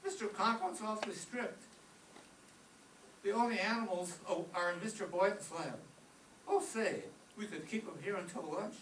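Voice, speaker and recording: Performer as excitable teenage boy, mischievous, swooping pitch, radio sitcom style, distant and noisy. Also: normal speed, natural voice. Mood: chipper. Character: eager, mischievous high school boy Walter. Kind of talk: old-time radio comedy acting. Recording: distant echoey stage with clear noise.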